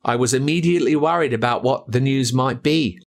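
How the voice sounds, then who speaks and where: A man with an Australian accent narrating a spooky children's story in an expressive, suspenseful, first-person storytelling manner. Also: mid-range voice, a little fast, gravelly. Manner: expressive, suspenseful storytelling as a boy recalling events. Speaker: audiobook narrator.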